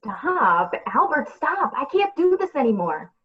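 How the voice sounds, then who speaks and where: A woman's voice: high voice, sing-song, measured pace, highs cut off. A woman playing a lovesick, fed-up female accountant, pleading melodramatically in a comedy radio play.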